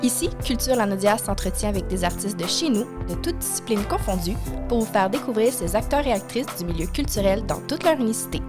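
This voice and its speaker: A woman reading a scripted podcast introduction as a promotional announcer. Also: medium pitch. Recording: music under the voice.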